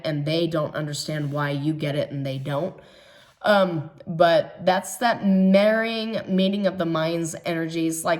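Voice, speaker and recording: A woman with a hoarse voice, recovering from an illness, giving a casual tarot reading, musing and hesitant with frequent pauses and fillers, turning emphatic at times. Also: low-pitched. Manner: musing, hesitant with many ums, emphatic about others' jealousy. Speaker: tarot reader.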